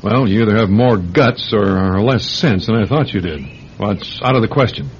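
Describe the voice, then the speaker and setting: An actor playing an alarmed, principled doctor in an old-time radio drama, stammering and admonishing in disbelief. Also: male voice, fairly low pitch, normal speed, close to an everyday voice. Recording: dull lo-fi radio recording with hiss.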